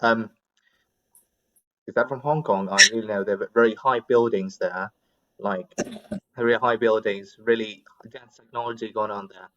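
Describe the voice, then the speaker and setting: A man with a slightly low voice, heard through somewhat dull call audio. He gives his opinions in casual conversation, wordy and feeling his way toward the right words. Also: steady tone, moderate pace, long pauses, a bit bright. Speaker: English conversation practice participant. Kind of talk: casual chat, explaining opinions.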